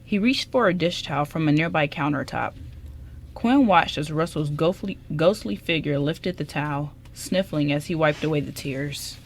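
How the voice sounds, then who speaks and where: A woman's voice: low voice, moderate pace. A woman reading scripted narration for an amateur radio drama.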